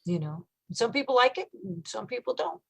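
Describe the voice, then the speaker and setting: A woman metal singer with a low voice, talking casually in an interview, thoughtful and relaxed with many fillers. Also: normal speed, gravelly, slightly rough.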